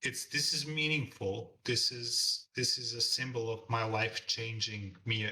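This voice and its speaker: Earnest bright-voiced man, English not his first language. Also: mid-range voice, steady tone, a little slow, distant mic, a little hiss or hum, rough recording. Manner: earnest, reflective, repeats words while searching for them. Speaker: guest artist. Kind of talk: casual interview chat over a call.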